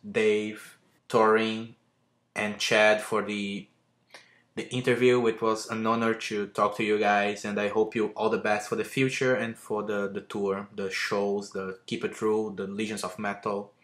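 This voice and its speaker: A man's voice: on the low side, steady tone, measured pace, sharp tone, reverberant. A man hosting a podcast, asking questions in a casual interview and closing with an upbeat sign-off. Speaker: podcast host.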